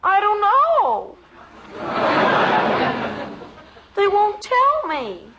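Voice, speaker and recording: A woman's voice: very high-pitched, wildly swooping pitch, slow, highs cut off, full character voice. A female performer playing an eager, pestering little girl in a 1950s radio sitcom, whiny and dramatically earnest, on a noisy old recording.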